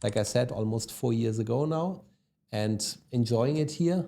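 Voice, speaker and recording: A man in his forties with a German accent talks through his career in a relaxed podcast interview. His voice is mellow and mid-pitched, and he fills gaps with hesitations and tag questions like "no?" and "right?". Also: normal speed, gravelly. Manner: conversational, frequent ums and tag questions. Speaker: guest, e-commerce executive.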